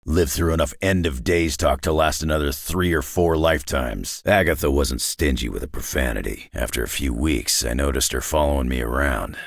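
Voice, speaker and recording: A man voicing a gruff, surly middle-aged superhero in a video game, raspy and sardonic with clipped folksy tough talk in a North American accent. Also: extremely low pitch, moderate pace, few pauses, dry recording, clean studio sound, heavily acted. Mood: dry, gruff. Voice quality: gravelly, raspy. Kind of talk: video game character voice lines.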